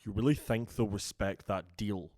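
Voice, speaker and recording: A man's voice: lowish voice, moderate pace, mellow, no echo, studio quality, lightly acted. A man playing a cynical, defiant vampire in an audio drama, blunt and challenging. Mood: sceptical. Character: cynical, defiant male vampire elder, distrustful and contemptuous.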